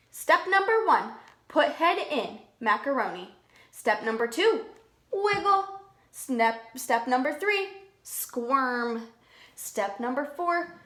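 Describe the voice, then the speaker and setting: A high, childlike little worm boy, voiced by a woman tells his diary in simple storybook sentences. The delivery is slow and the room rings with echo. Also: fairly bright tone, exaggerated voice. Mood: proud, instructive. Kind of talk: children's picture-book read-aloud. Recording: echoey room.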